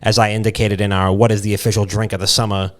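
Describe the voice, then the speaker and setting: A man's voice: fairly low pitch, level tone, fast. A man co-hosting a casual comedy podcast, rambling irreverently and repeating himself. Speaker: podcast co-host.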